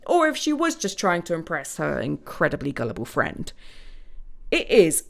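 Animated woman pilot telling her story. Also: deep voice, moderate pace, vocal fry, exaggerated voice. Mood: reflective. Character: plucky young female bush pilot narrating her story. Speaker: actor in an audio play. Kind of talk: dramatic monologue, audio play narration.

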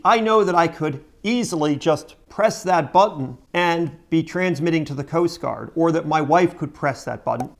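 A middle-aged American man explaining things to camera as a video host, emphatically and with rhetorical questions, indoors at home. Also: a little high.

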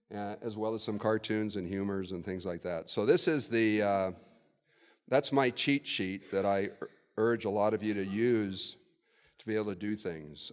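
A man's voice: lowish voice, clear, resonant tone. A man in his sixties giving a conversational keynote at a podium in a conference room, thinking aloud with fillers. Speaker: keynote speaker. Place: hotel conference room with audience. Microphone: podium mic. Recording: long reverb of a conference hall.

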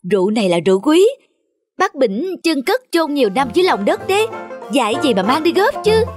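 A woman voicing a bossy, scheming village wife in a scolding, sly manner for an animated folk tale. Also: high-pitched, a little fast, music in the background.

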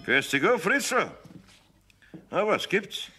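A man's voice: high voice, big pitch swings, pushed and bright, a little hiss or hum, full character voice. A man playing a kindly, patient older master carpenter with a Bavarian accent and a homely manner in a children's radio play. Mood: friendly. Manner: unhurried, good-natured, homely.

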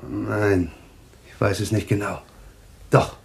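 An actor playing a composed, cryptic man with eerie visions of death in a German radio thriller, speaking deliberately. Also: fairly low pitch, level tone, creaky. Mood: horrified trance. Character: calm, eerily taunting man claiming visions of deaths.

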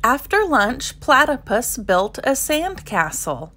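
Slow, animated woman storyteller. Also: sits high. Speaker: storybook reader. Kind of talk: expressive children's read-aloud narration.

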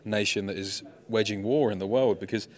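A young man holds a handheld mic and rattles off mocking questions at a quick clip. His delivery is dry and deadpan, a steady roast with no letup, recorded at an indoor event setup. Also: fairly low pitch. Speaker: interviewer. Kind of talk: teasing roast interview. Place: esports event backdrop, indoors.

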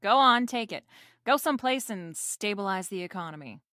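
A woman's voice: medium pitch, animated, normal speed, close to an everyday voice. A woman playing a breezy, wisecracking female private detective in a noir audio drama, narrating and bantering. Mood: brisk. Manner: wisecracking, coolly playful.

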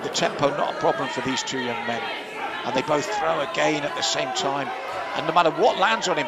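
A man giving live ringside commentary on a kickboxing bout, analytical and offering his own view of the scoring. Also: fairly high pitch. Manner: analytical, gives personal opinions on the scoring. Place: indoor kickboxing venue with live audience. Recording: loud crowd and venue noise behind.

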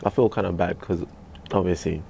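Low gravelly young man. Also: steady tone, mellow, a little hiss or hum. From Tanzania. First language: Swahili. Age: young adult. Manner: laid-back, slangy, drawn-out. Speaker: interviewer, fellow artist. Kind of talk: casual chat. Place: small carpeted room, seated in armchairs.

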